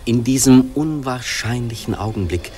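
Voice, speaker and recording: An actor playing a grave storyteller who recites a Greek hero's words in a poetic, measured, declamatory radio drama style. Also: male voice, medium pitch, a little mellow, close to an everyday voice. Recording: prominent music behind the voice.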